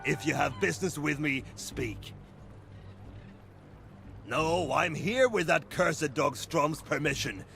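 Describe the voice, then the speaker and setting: A boastful pirate captain, with a high voice that swoops theatrically. He swaggers and sneers like a slaver from a fantasy game. Music plays behind him. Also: lively intonation, slow, creaky, pauses often, a bit bright, exaggerated voice. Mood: boastful, threatening. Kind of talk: fantasy video game voice acting.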